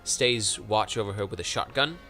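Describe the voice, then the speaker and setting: A man chats casually on a film podcast, circling his points and filling gaps with hesitations. His voice is bright and forward, and faint music sits behind him. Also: lowish voice, moderate pace. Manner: rambling, hesitant with many fillers. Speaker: podcast co-host. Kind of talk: casual chat about films.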